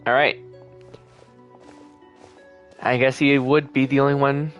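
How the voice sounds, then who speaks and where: A man's voice: medium pitch, flat delivery, slow pace, fairly bright tone, muffled recording. Casual male game streamer, faint music behind. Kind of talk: casual playthrough commentary.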